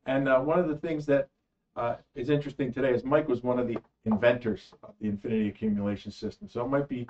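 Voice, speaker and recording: A middle-aged man hosting a casual panel talk, asking questions in a relaxed way with some fillers. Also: medium pitch, fast. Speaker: host. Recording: distant, slightly dull.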